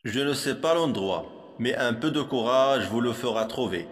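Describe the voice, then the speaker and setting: A man reciting poetry for a primary school lesson in a measured, didactic manner, with a long hall-like reverb on his voice. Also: medium pitch, animated.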